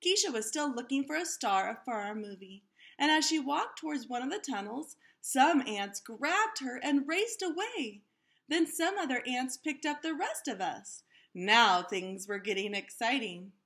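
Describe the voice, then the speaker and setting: A high-voiced woman reads a children's storybook aloud with very lively intonation. She sounds like a teacher, pausing to put questions to her young listeners and explain.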